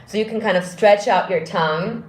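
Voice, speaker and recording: A woman vocal coach with a deep speaking voice, answering viewer questions and demonstrating exercises in a live singing lesson. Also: normal speed.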